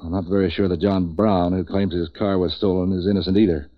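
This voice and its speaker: An actor playing a streetwise, wisecracking man for hire in 1940s radio noir, terse and deadpan. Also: low voice, brisk, lightly acted. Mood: businesslike. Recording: muffled vintage radio recording.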